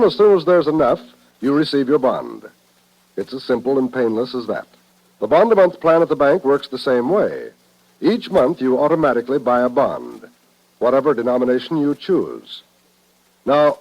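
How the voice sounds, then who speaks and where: A man with an American accent reading a scripted ad as a 1950s radio announcer. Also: mid-range voice. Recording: dull vintage radio broadcast.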